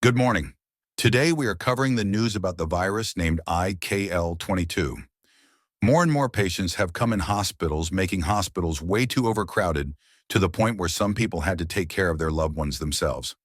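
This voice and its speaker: Guy doing news anchor, low voice, really dry close sound. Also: moderate pace, dry recording. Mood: newsy, concerned. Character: male news anchor covering an outbreak. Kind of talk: scripted news presenting.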